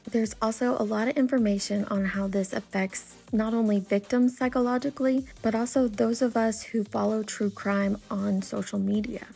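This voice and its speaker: A woman delivers scripted online commentary in an earnest, measured manner. Her medium-pitched voice is noticeably creaky. The tone stays mellow and dark, without any sharp edge. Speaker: true crime YouTube commentator. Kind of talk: scripted commentary, reading and summarising an article.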